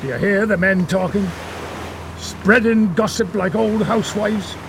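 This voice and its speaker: An actor playing a gruff, impatient middle-aged ship's captain in a radio adventure, gravelly and brusque. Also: male voice, high voice, a little slow. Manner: brusque, barking, scornful. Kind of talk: 1930s-style radio adventure acting. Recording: noisy sea and boat engine ambience.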